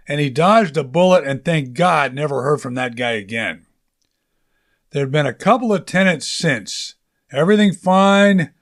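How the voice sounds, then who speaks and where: A male audiobook narrator with a somewhat high-pitched voice tells a story in a conversational way. His delivery is casual and colloquial, as if recounting it to someone he knows.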